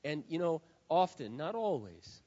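Impassioned high-voiced man preaching in a hall. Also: big pitch swings, a little slow. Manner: swings from quiet and earnest to loud impassioned shouting. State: hoarse, says he has lost his voice. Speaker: pastor. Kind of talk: sermon. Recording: dull sound with long hall reverb.

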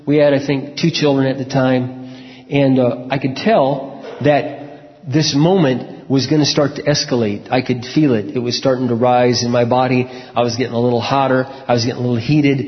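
A man preaching a sermon and telling personal stories in a frank, conversational way, often clearing his throat. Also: mid-range voice, steady tone, normal speed. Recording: echoey hall, dull lo-fi sound.

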